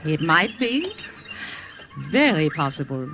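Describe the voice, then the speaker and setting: An actress playing a worldly, flirtatious French cabaret singer and secret agent in a radio drama, coy, poised and knowing. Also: female voice, low voice, big pitch swings, slow pace, heavily acted. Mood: sly, knowing. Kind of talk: old-time radio acting. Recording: vintage radio recording with music behind.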